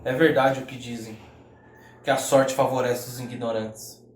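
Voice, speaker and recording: A man's voice: mid-range voice, fairly even intonation, measured pace, natural voice. An actor with a Brazilian accent playing a pompous, boastful older Bulgarian major and father who talks loftily and dismissively. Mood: haughty. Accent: Brazilian Portuguese. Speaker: acting student. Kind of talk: mock-documentary stage acting. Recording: echoey room.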